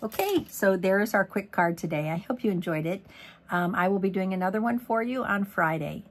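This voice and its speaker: A woman from Missouri demonstrating a card-making technique at her craft desk, chatty and rambling, with a hoarse voice as she loses it. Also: mid-range voice, lively intonation, brisk. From Missouri, USA. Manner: chatty, rambling, lots of ums and asides. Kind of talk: casual crafting tutorial.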